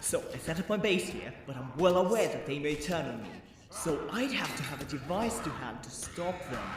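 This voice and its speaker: A man's voice: on the high side, animated, moderate pace, over music, close to an everyday voice. A man playing a wry young hero. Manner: wry, thinking aloud. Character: resourceful young male hero, quick-thinking. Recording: echoey, distant, noisy.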